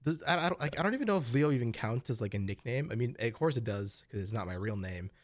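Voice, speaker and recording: A man's voice: medium pitch, lively intonation, fast, dry recording. A young man co-hosting a podcast, chatting casually with lots of fillers and swearing as he rambles. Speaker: podcast co-host. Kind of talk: casual chat.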